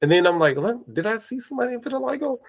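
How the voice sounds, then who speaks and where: Raspy-voiced man hosting a podcast. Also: very high voice, very fast. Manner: animated storyteller, acts out quoted exchanges. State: raspy from allergies. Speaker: podcast host. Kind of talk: casual conversation.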